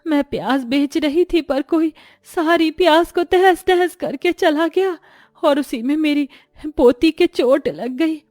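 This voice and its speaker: A poor old village grandmother from a cartoon moral tale, put on by a woman. She is distressed and plaintive, stretching her words in a highish, soft-edged voice over faint music. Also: takes it slowly, no echo, clean studio sound. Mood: grateful, sorrowful. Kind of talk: cartoon story voice acting.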